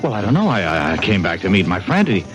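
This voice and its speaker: A man's voice: on the low side, very animated, fast talker, music in the background. An actor playing a reporter in his twenties, wry and hesitant, who stammers when nervous. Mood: panicked. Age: 20s. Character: young reporter, wry then panicking. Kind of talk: 1950s radio drama acting.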